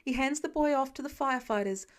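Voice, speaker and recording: A woman reading scripted fiction as an expressive audiobook-style narrator. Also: high voice, quick pace. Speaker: podfic narrator.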